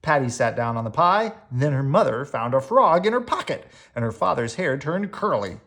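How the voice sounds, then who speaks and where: Animated storybook reader with swooping intonation. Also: mid-range voice. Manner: animated storyteller with dramatic emphasis and drawn-out words. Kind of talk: expressive children's read-aloud narration.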